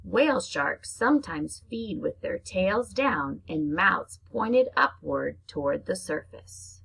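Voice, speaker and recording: Husky woman reading to children. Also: lowish voice, sing-song, a bit bright, rough recording. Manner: simple, child-directed explaining, slow deliberate reading alternating with chatty asides. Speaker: elementary teacher reading to pupils. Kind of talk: children's read-aloud lesson with explanations.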